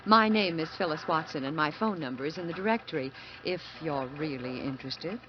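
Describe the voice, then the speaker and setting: A woman's voice: low-pitched, lively intonation, brisk. An actress playing a coy, flirtatious secretary in her twenties, playful and knowing, in 1940s radio style. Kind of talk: 1940s radio drama acting. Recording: dull, hissy vintage radio recording.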